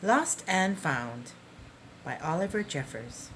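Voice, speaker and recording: Woman reading storytime, lively low-pitched swings. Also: a little hiss or hum, rough recording. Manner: deliberate, dramatic phrasing for young children. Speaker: preschool teacher reading a story aloud. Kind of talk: expressive children's picture-book storytime reading.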